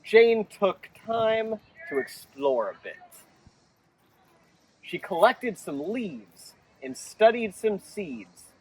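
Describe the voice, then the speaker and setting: A man reading a picture book aloud to children in an expressive, theatrical way. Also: sits high, drawn-out words, far from the mic, slight background noise, low-quality recording. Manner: theatrical, animated. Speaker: children's storytime reader.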